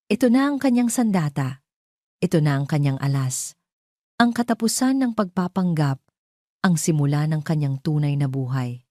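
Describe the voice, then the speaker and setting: A woman's voice: deep voice, dark tone, dry recording, studio quality. A woman with a Filipino accent narrating a scripted dramatic story.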